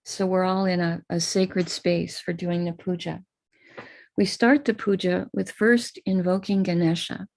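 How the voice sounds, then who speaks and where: An American woman guides a ritual over a video call at a slow, unhurried pace. Her voice has a gravelly edge of fry, and her tone stays calm and instructive as she explains each step. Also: lowish voice. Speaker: spiritual teacher leading a ritual. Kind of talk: guiding a live online prayer ritual.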